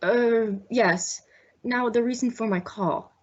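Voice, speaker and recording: A woman's voice: on the high side, slow pace. A woman playing a stuffy, worried male executive with a formal, hesitant manner in a radio mystery. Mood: formal, uneasy. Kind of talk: old-time radio mystery acting. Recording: dull lo-fi audio.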